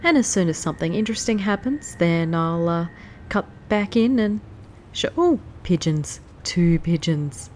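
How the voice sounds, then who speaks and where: A woman's voice: fairly low pitch, expressive, unhurried, a little mellow, slight background noise. A woman with a stuffy, nasal voice from hay fever, chatting casually as she plays through a strategy game. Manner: chatty, easily distracted. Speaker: let's play host. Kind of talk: casual gameplay commentary.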